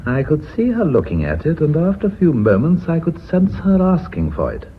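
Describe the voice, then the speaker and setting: An actor playing a middle-aged, commanding British company director with a measured, formal way of speaking, in radio drama style. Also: male voice, a little high, wildly swooping pitch. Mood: warm, reflective. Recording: muffled, hissy old radio recording.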